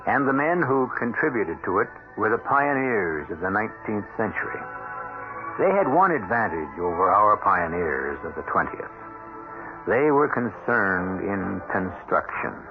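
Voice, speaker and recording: Narrow-band old radio, music behind, male host, lowish gravelly voice, expressive scripted storytelling, measured and literate. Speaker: radio drama host and narrator. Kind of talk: scripted 1970s radio narration.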